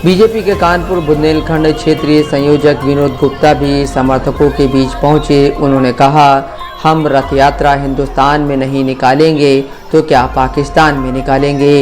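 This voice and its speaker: A man's voice: a little high, little pitch movement, normal speed. A man reading a scripted news voice-over as narrator. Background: music.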